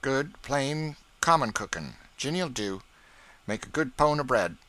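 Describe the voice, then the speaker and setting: A man's voice: medium pitch, sing-song, unhurried, pushed and bright, no echo, voice pushed up, rough recording. A man voicing a bossy, proud older woman cook who scolds and boasts in thick folksy dialect. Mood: scornful boastful. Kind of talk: audiobook character voice.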